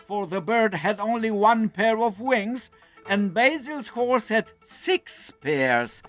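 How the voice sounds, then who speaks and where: Male narrator for children's fairy tales. Higher register, lively intonation, deliberate dramatic delivery over music. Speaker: storyteller, narrator.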